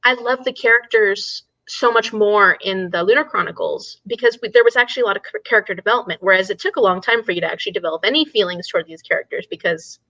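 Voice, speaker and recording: A woman's voice: mid-range voice, fast talker, few pauses, bright, forceful tone, no room sound, highs cut off. A woman hosting a casual book discussion in an engaged, agreeable manner.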